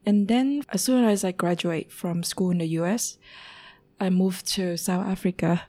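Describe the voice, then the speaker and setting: A woman in her thirties from Vietnam, speaking English as an interview guest, recounting her life at an unhurried pace and pausing to find words. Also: fairly low pitch, a little mellow.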